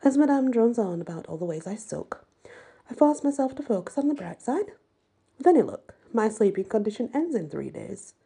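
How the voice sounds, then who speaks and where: A woman reading a first-person story aloud with expressive, dramatic delivery and a husky, breathy voice. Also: fairly high pitch, moderate pace. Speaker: amateur audiobook reader.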